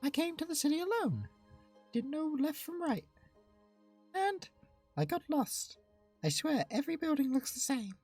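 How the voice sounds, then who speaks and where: A woman's voice: sits high, sing-song, normal speed, long pauses, a little mellow, soft background music, pitched above the performer's own voice. A woman voicing a cheerful, sweet young country girl from a dating game, with a bubbly, cutesy delivery. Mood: wistful, earnest. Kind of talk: visual novel character read aloud.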